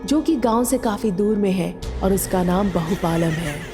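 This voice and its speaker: A woman's voice: mid-range voice, measured pace, long reverb tail, over music. A woman narrating a scripted story as a storyteller.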